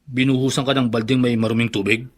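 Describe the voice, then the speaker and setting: A man's voice: mid-range voice, level tone, quick pace, lightly acted. An actor playing a concerned male confidant in a Filipino radio drama, questioning insistently. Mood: probing, concerned.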